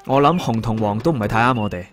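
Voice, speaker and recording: An actor playing an idealistic, principled young band member in a radio drama, with a Hong Kong accent. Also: male voice, on the low side, fast, soft background music, natural voice. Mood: earnest, reasoning.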